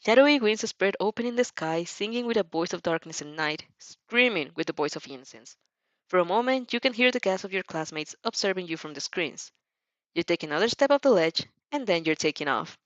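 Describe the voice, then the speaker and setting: A woman narrates fan fiction in an expressive storytelling style. Her pitch moves a great deal, and the recording is dry and slightly muffled. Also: a little fast, dry recording.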